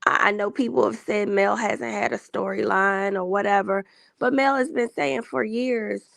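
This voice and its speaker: Gravelly woman getting over a cold. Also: mid-range voice, fairly even intonation, a bit bright, no room sound, clean studio sound. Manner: hesitant, lots of ums, slow and measured. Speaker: livestream guest. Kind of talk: casual opinion chat.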